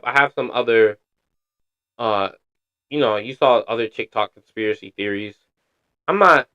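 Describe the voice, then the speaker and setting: A man's voice: mid-range voice, fairly bright tone, smooth, muffled recording. A man streaming casual reaction commentary, slangy and blunt, swearing freely.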